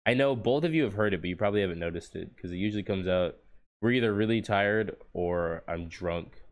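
A young man who grew up speaking Polish talks with an American accent. He co-hosts a podcast in a relaxed chat, swears freely and laughs easily. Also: low voice, a little fast. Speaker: podcast co-host. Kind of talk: casual chat.

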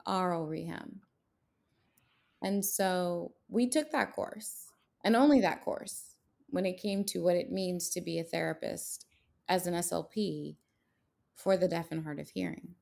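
A woman's voice: fairly low pitch, unhurried, creaky, a little mellow. A woman whose first language is Haitian Creole, co-hosting a podcast and talking with passion and emphasis. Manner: passionate, emphatic, rhetorical questions. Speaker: podcast co-host. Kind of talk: casual podcast conversation.

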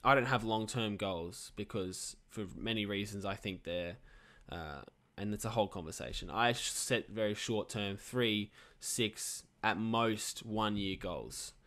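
Nineteen-year-old Australian lad, earnest. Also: male voice, lowish voice, unhurried, a bit bright. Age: teens. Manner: earnest, thinking aloud with restarts. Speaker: podcast host. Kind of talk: casual podcast chat.

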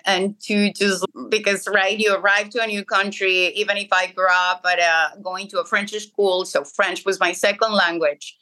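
Bright-voiced Colombian woman. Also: medium pitch, fairly even intonation, normal speed, few pauses, rough recording. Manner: earnest, restarts phrases often. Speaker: interview guest. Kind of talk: conversational interview.

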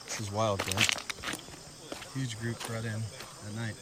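A man reporting from the field, asking quick blunt questions outdoors at night. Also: on the low side, flat delivery, very noisy. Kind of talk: on-the-ground questioning.

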